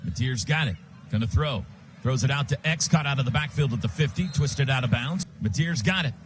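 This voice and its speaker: A man's voice: medium pitch, a little fast, music in the background. An American man calling football play-by-play on live radio in clipped, urgent present-tense phrases from a stadium booth.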